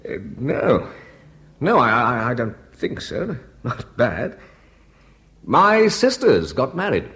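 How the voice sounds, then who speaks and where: An actor playing an anxious, politely persistent young British brother in a radio drama, hesitant and wry, with a husky voice. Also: male voice, mid-range voice, sing-song, slow, fairly bright tone, heavily acted. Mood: surprised, uneasy. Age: young adult.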